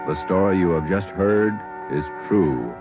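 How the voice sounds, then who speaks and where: A male radio announcer with an extremely deep voice, speaking slowly and solemnly. Music plays clearly behind a dull, lo-fi vintage broadcast sound. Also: expressive.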